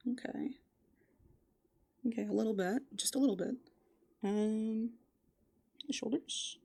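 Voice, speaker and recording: A woman doing improvised ASMR roleplay, softly and playfully musing aloud, slipping into breathy whispers close to the microphone. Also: a little high, unhurried, no room sound. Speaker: ASMR performer in a raccoon avatar persona.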